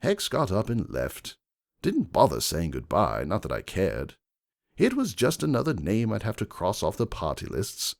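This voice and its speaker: A man narrates fiction dramatically in the first person. His voice is fairly low with a creaky edge and very wide swings of pitch, set over faint music. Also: huge pitch swings, moderate pace. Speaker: audiobook-style reader.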